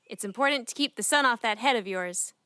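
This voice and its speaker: A woman's voice: on the high side, brisk, clean tone, dry recording, clean studio sound. A woman playing a caring, fussy mother who teases her young daughter in a children's audio drama. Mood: fussing. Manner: warm, fussing, playfully teasing.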